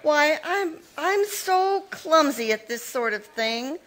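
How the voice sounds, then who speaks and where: A woman voicing a scheming, fretful spinster who stammers and frets to spread gossip. Her high voice swings up and down, bright and sharp. It is pure 1940s radio drama. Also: expressive, exaggerated voice. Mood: pleading. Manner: halting, stammering show of distress. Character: scheming, nervous-seeming spinster sister. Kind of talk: live recreation of 1940s radio mystery acting.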